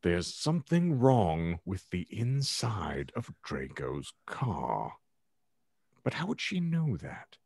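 Man, very deep voice, hugely animated story narration, theatrical pauses, ornate delivery. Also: husky, dry recording, clean studio sound. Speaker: podcast host reading aloud his own fan fiction. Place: indoor room at home, video call.

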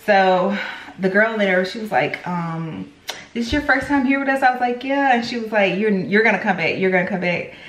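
A young American woman chatting casually to camera, telling stories in a lively, rambling way full of asides. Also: mid-range voice, normal speed. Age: young adult. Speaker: lifestyle vlogger. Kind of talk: casual chat vlog. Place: home kitchen, indoors. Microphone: camera on the counter, about arm's length. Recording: echoey room, some background noise.

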